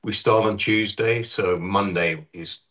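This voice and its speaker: A man with a husky voice from an allergy, chatting casually in an interview about touring with his band. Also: fairly low pitch, unhurried, a little hiss or hum. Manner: relaxed, rambling with restarts. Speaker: touring band musician, guest.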